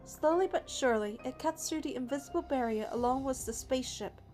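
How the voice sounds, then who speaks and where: A woman with a high voice reads story narration expressively, as a player going through a game. Soft music plays behind her. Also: brisk.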